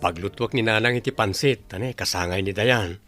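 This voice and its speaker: A man playing a gentle, struggling father in a radio drama, hesitant and placating. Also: on the low side, fairly quick, natural voice. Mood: resigned, pleading. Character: struggling, gentle, resigned father of a young child. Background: music.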